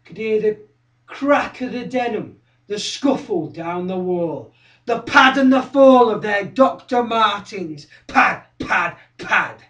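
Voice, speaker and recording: A man's voice: high-pitched, expressive, slow, full character voice. An actor performing a theatrical monologue as an obsessive, confrontational young male skinhead who relives his violent past. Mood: haunted, confiding. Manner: obsessive, confrontational, repetitive, swinging from hushed to shouting. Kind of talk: theatrical dramatic monologue, direct address. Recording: roomy echo, distant microphone.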